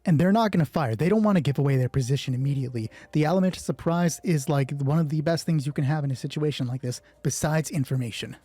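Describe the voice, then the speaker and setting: A man's voice: a little high, fast, few pauses, warm tone, slightly rough, no room sound. A man narrating a solo tabletop roleplay as game master, thinking aloud in a casual, conversational way. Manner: casual, conversational, reasoning through rules aloud.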